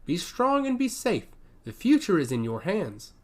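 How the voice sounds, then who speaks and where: Casting: man as gentle older dad in a fantasy tale, solemn, encouraging, very animated intonation. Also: high voice, moderate pace, pitched above the performer's own voice, full character voice. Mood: solemn, tender. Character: older father, gentle, solemn leader fleeing enemies.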